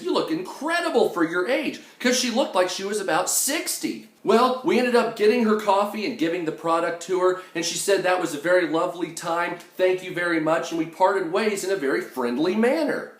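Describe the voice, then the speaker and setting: Animated, higher-pitched man telling stories. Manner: brash, sweary, sarcastic. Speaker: storytime video host. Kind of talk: animated personal storytelling. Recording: echoey room.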